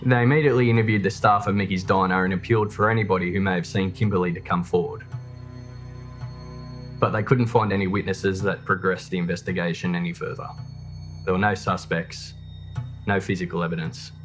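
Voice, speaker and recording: A man's voice: sits low, fairly even intonation, a little fast, a bit bright, faint music behind. A man reading scripted true crime documentary narration as the narrator.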